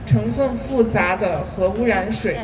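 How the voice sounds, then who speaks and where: A woman's voice: medium pitch, a little slow, crowd noise. A woman whose first language is Chinese, speaking as a youth climate activist and carefully reading a statement aloud with small hesitations. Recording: distant mic, clear street noise with passing vehicles.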